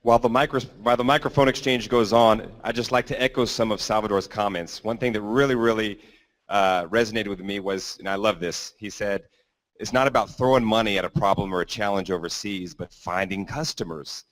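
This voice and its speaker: A man's voice: fairly low pitch, steady tone, brisk, fairly bright tone, no room sound. A man hosting a business conference, introducing speakers in a loose, rambling way with some fillers. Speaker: event host.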